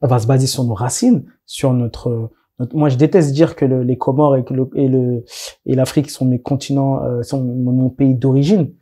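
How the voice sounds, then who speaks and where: A man's voice: mid-range voice, fairly even intonation, normal speed, mellow, husky. A man in his thirties from France, a guest talking casually in an interview with many restarts and fillers. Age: 30s.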